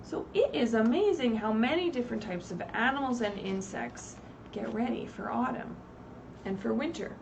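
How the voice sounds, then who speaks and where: A woman reading aloud expressively at a children's story time, pausing to put simple questions to her young listeners. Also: a little high, lively intonation, creaky, darkish tone. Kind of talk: animated read-aloud with asides to young children. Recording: distant mic, faint background noise, livestream.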